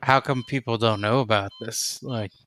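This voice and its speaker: Man playing a shaken, sharp-voiced young-sounding army survivor. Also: medium pitch, fairly even intonation, soft background music, close to an everyday voice. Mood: wary, uneasy. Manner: halting, slangy, says "man" and "like" often. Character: shaken male army soldier, sole survivor, wary and informal. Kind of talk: improvised tabletop roleplay.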